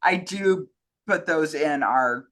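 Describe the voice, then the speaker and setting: Female co-host, bright and crisp-edged voice, informal unscripted chat. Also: low voice, slow pace. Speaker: co-host, communications director fielding questions. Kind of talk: casual chat.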